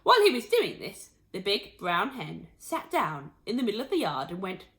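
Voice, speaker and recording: A woman reading a children's story aloud in an animated storytelling style. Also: mid-range voice, normal speed. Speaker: story reader.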